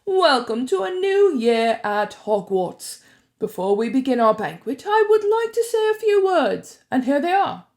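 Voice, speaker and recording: Eccentric old male headmaster, grand and loud, read by a woman. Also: high voice, sing-song, unhurried, pitched above the performer's own voice.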